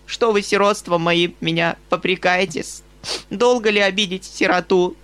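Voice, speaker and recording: A man narrating an audiobook voices a spiteful female hanger-on who speaks in a sharp, indignant, falsely servile way. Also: high voice, steady tone, moderate pace, smooth, voice pushed up. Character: spiteful middle-aged female hanger-on, shrill and sniping.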